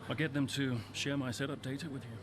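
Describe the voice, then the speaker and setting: An actor playing an older, weary but earnest racing driver in a video game cutscene, speaking in a low-key, sincere way. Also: male voice, fairly even intonation, fast talker, background noise, close to an everyday voice. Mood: earnest, conciliatory.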